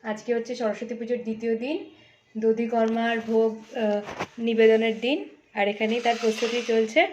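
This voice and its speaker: A woman's voice: fairly high pitch, flat delivery, moderate pace, darkish tone. A young woman, chatting informally to viewers as she narrates a casual family vlog. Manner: chatty, informal, mixes in English greetings. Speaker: vlogger. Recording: dull lo-fi home video, faint noise.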